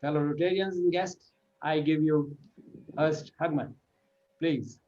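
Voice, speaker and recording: A man hosting an online club meeting, making formal announcements in a halting, hesitant way over a video call. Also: a little high, steady tone, a little mellow. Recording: dull, lo-fi online meeting audio.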